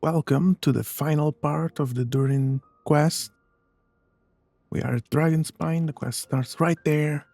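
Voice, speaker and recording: Mellow, husky gaming commentator. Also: mid-range voice, fairly even intonation, unhurried, no room sound. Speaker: gaming streamer. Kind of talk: casual let's play commentary. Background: game music.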